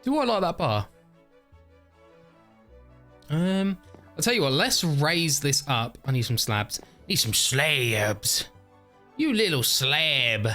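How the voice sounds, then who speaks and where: A man's voice: fairly high pitch, very animated, takes it slowly, long pauses, sharp tone, faint music behind. A man rambling through casual game commentary, overtired and a bit stuffy and nasal, often laughing at himself. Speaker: gaming YouTuber. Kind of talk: casual let's-play commentary.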